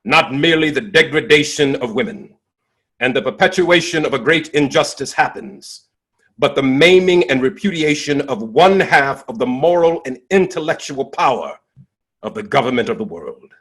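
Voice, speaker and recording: A man acting as a dignified nineteenth-century abolitionist orator with a husky voice. He delivers a stage monologue in stately, impassioned phrases that swell and fall away, set off by deliberate pauses. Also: mid-range voice, lively intonation, fairly bright tone. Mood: emphatic, righteous. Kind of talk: dramatic monologue, theatrical oratory.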